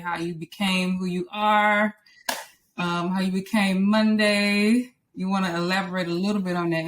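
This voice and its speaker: A woman with a fairly low voice hosts a casual interview. She is chatty and welcoming in the way she talks. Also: a little hiss or hum. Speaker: interview host.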